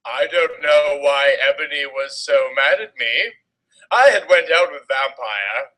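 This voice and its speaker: A man's voice: on the high side, unhurried, bright, forceful tone, low-quality recording. A man giving a theatrical, exaggerated and melodramatic dramatic reading of a story. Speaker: dramatic reader of fan fiction.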